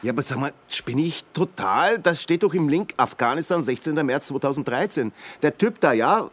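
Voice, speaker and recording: A man's voice: fairly high pitch, lively intonation, moderate pace, fairly bright tone. An actor playing a dry, matter-of-fact male agent in a radio drama.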